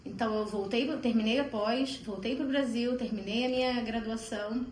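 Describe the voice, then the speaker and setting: A woman's voice: a little high, steady tone, moderate pace, a little mellow, roomy sound, slight background noise, rough recording. A woman from Brazil with a Brazilian Portuguese accent, telling her story in a chatty, rambling way with fillers. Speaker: interviewee. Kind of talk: casual interview storytelling.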